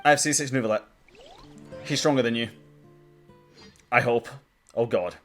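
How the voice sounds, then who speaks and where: A man's voice: mid-range voice, normal speed, fairly bright tone, faint music behind. A man hosting a gameplay reaction video, talking casually with joking asides, exclamations and swearing. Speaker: gaming video host. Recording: faint noise, game audio behind.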